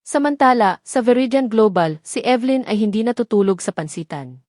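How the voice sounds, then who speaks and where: A woman's voice: a little high, animated, a little fast, a little mellow, no room sound. Filipino woman narrating a drama, dry close sound. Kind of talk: scripted dramatic story narration.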